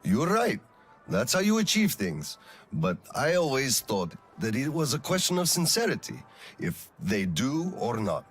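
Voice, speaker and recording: An actor playing a weary, conscientious middle-aged colonel in a game drama, speaking in a reflective, measured and slightly hesitant way. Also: male voice, medium pitch, huge pitch swings, slightly rough, soft background music, exaggerated voice. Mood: troubled, pensive. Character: weary, conscientious male colonel and lone father. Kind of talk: dramatic video game cutscene acting.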